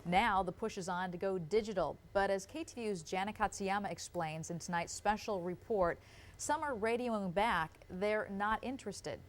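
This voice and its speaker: Female anchor, studio intro read, smooth and polished. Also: medium pitch, normal speed. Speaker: news anchor. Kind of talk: scripted news intro.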